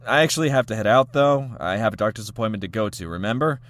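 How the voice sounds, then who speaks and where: A man's voice: lowish voice, expressive, quick pace, fairly bright tone. A man playing an easygoing employee in an audio drama, with a casual delivery. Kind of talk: audio fiction acting.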